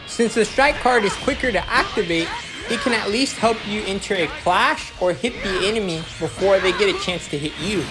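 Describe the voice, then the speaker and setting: Casting: gameplay guide narrator, medium pitch, instructional and explanatory delivery, voice-over laid over clearly audible music. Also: moderate pace.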